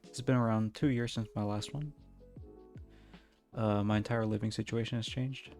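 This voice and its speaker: A man's voice: on the low side, steady tone, moderate pace, darkish tone, faint music behind. A man reading a story aloud in an informal, amateur storytelling style. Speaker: fan fiction reader. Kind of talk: storybook narration, casual intro.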